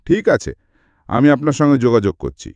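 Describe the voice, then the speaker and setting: A man reading a self-assured, unflappable male detective in a story, with brisk, firm, questioning delivery. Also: mid-range voice, expressive, a little mellow, no echo, highs cut off, close to an everyday voice. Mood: curt. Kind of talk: audiobook character dialogue.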